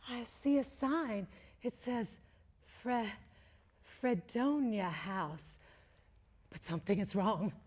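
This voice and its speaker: A woman's voice: medium pitch, takes it slowly, pauses often, a little mellow. A woman playing a séance medium for a live audience, speaking with theatrical, old-fashioned formality into a handheld microphone. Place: large library event room.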